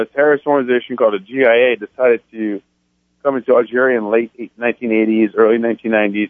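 A man's voice: lowish voice, dry recording. A man in his thirties from France, telling his story earnestly as a radio guest, with many restarts. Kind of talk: phone-in interview. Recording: tinny phone line.

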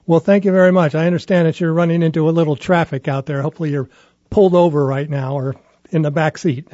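A man's voice: on the high side, steady tone, quick pace, dark tone, no echo. A man hosting a radio interview, talking conversationally with small restarts. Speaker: radio host. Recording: muffled lo-fi broadcast audio.

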